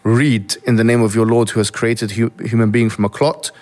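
A man's voice: mid-range voice, little pitch movement, normal speed, darkish tone. A young man, originally from Egypt, earnestly reflecting as an interview guest, often restarting his sentences. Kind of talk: interview conversation.

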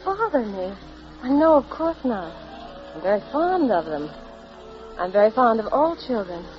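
An actress playing a tender, wistful young American wife, sentimental and earnest, in 1940s radio drama. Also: female voice, on the high side, big pitch swings, a little slow, soft background music. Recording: muffled, noisy old radio broadcast.

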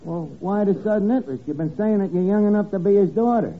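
A man's voice: high-pitched, fast. An actor playing a brash, sarcastic barman who mangles his grammar in a 1950s radio comedy. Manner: sardonic, mock-flattering, ungrammatical. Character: brash wisecracking barman, fast-talking, mangles words, sarcastic. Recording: muffled, noisy old radio broadcast.

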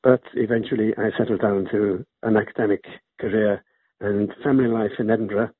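A man's voice: fairly low pitch, steady tone, fairly quick, husky, no echo. Older Welsh-raised man on a phone line. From Wales. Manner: rambling, with restarts. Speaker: guest author. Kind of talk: interview over a call. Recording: muffled phone-like line, dry.

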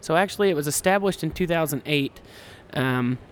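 A man's voice: a little fast, clean tone. A man in his twenties from Kansas, a hunting ranch owner chatting casually in a podcast interview, rambling and self-deprecating with lots of restarts. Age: 20s. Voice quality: high-pitched voice.

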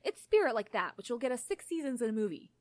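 A woman's voice: fairly high pitch, very animated, quick pace, no echo. A woman reading the lines of an earnest, matter-of-fact young man in a story, methodical in manner.

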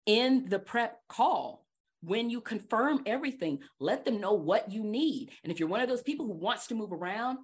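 A woman hosting a podcast, giving casual advice in an animated, emphatic way and repeating her key points. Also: medium pitch, normal speed, husky. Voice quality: trained projecting voice. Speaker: podcast host.

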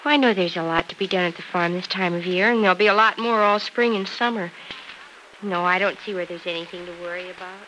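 An American actress playing a gentle young wife who hides her worry in a soft-spoken, forbearing way, in 1940s radio soap style. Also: on the low side, fast. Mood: anxious, composed. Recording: noisy vintage radio recording.

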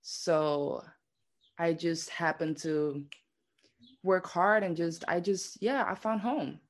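A woman's voice: fairly low pitch, takes it slowly. Chatty young woman from the Netherlands. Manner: chatty, says "like" a lot. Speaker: guest dancer. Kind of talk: casual interview chat.

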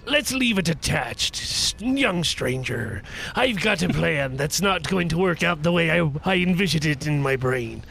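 A man's voice: on the high side, animated, unhurried, vocal fry, hardly any pauses, a bit bright, slight background noise. A man playing a tabletop game, bantering casually in a playful, theatrical manner.

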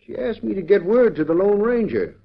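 A blunt frontier telegrapher. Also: male voice, sits high, very animated, a little fast, vocal fry, full character voice. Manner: blunt, folksy, plainspoken. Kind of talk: 1940s radio acting. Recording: dull old radio recording.

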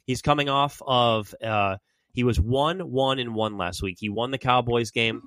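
A man's voice: medium pitch, measured pace, a bit bright, clear, resonant tone, no room sound. Male podcast host, stuffy cold, loose fan chatter, dry sound. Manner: chatty, fan-style predictions. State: has a cold. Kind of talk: casual sports talk.

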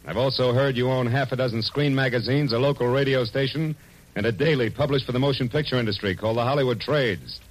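An American actor playing a weary, sardonic private detective in the clipped, hard-boiled style of 1940s radio noir. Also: male voice, mid-range voice, fast talker, close to an everyday voice, poor audio. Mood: dry, resistant. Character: wry, weary, hungry male private detective. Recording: old radio recording with music behind.

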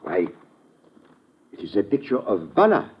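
An actor playing a courteous French botanist with a formal, hesitant French-accented delivery, in old radio adventure style. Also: male voice, lowish voice, wildly swooping pitch, a little slow. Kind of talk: 1950s radio adventure acting. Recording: dull, noisy old radio recording.

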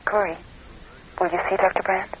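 An anxious, loving wife played by a woman in a radio play, with a mid-pitched voice that pleads tenderly and halts as she goes. The recording is muffled, with some hiss behind her. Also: steady tone, normal speed, a bit bright, natural voice. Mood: hesitant, concerned. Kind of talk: radio drama acting.

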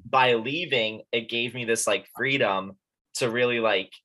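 A man's voice: mid-range voice, measured pace, pushed and bright, rough recording. A man from upstate New York hosting a podcast, talking in a chatty, casual way full of "like" fillers. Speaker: podcast host. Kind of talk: casual conversation.

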